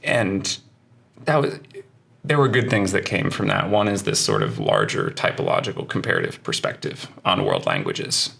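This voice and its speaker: A man's voice: deep voice, big pitch swings, moderate pace, gravelly, a bit bright, breathy edge. A man talking as an interview guest, a language teacher musing thoughtfully and hedging as he reflects. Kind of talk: casual interview conversation.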